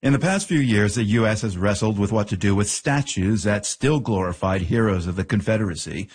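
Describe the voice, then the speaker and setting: Husky low-voiced male radio host. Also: fairly quick. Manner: calm and scripted. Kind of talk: news presenting.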